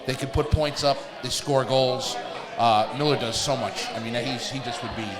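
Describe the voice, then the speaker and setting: A man co-hosting a live sports talk show at a bar, giving unscripted analysis in a halting way, restating phrases as he goes. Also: mid-range voice, fairly even intonation, a loud crowd. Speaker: fill-in co-host. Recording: music behind, live bar. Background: music.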